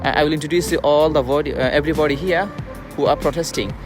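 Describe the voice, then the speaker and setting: A man with a Bangladeshi accent vlogging live from a street, speaking in a halting, earnest, repetitive way. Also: on the high side, fairly even intonation, music in the background. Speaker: vlogger and refugee activist. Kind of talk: live street vlog, informal. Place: outdoors at a busy roadside protest.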